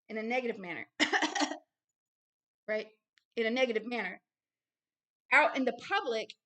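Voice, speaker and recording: Emphatic, bright-voiced woman preaching. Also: a little high. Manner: emphatic, blunt, repeats phrases for stress. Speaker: ministry founder and host reading and commenting on a book.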